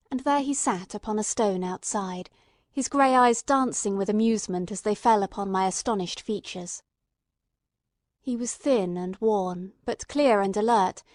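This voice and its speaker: A woman's voice: medium pitch, darkish tone, no room sound. A woman reading scripted first-person literary narration as an audiobook narrator.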